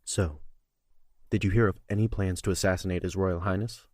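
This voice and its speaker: A man playing a flirtatious, self-assured royal guard in an audio drama, teasing and husky. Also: deep voice, fairly even intonation, a little fast, darkish tone, dry recording, clean studio sound, lightly acted. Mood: probing.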